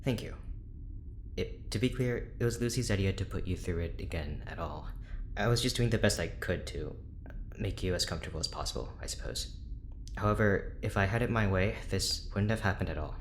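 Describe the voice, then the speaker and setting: A man's voice: low voice, a little fast, slight background noise. A man playing a stiff, weary, defensive neurologist in an audio drama, speaking formally with some hesitation. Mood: awkward, reserved. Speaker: head neurologist at a research station. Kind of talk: naturalistic audio drama acting.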